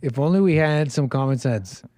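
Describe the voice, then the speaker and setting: A man's voice: mid-range voice, moderate pace, warm tone, dry recording. A man hosting a call-in show, talking casually and animatedly, often laughing. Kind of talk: casual live-stream talk.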